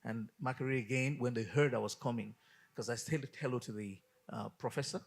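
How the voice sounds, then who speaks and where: A man from Nigeria, a guest pastor, telling an animated story in a studio interview and acting out the dialogue. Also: mid-range voice, brisk, gravelly, husky.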